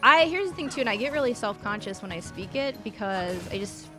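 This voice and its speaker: A woman's voice: fairly high pitch, animated, fairly quick. Casual Chicago-accented woman, game music behind. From northern United States. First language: English. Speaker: live stream host. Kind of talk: casual chat during a video game stream. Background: video game music.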